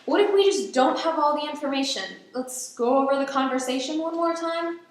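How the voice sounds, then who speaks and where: A woman's voice: sits high, a little fast, lightly acted. A woman playing a skeptical, dryly sarcastic schoolgirl, the older sister, in a radio play. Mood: doubtful. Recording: echoey room, distant mic, faint noise.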